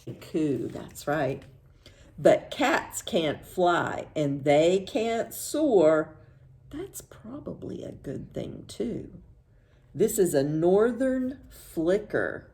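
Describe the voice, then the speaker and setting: A woman's voice: fairly low pitch, very animated, very slow, warm tone. An American woman hosting storytime for young children, reading in a singsong way and asking simple questions, then affirming the answers. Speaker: children's librarian, storytime host.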